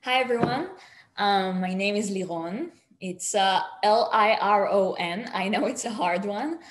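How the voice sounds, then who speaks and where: A woman's voice: medium pitch, a little slow, a little hiss or hum. A woman from Israel with an Israeli accent, introducing herself and her work as an executive panelist. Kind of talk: self-introduction and company explainer.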